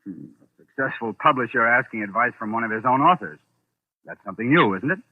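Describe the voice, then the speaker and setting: An actor playing an easygoing, wry author with a dry, teasing manner in a 1940s radio drama. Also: male voice, mid-range voice, animated, brisk, breathy edge, no room sound. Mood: wry, relaxed. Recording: tinny, dry old radio recording.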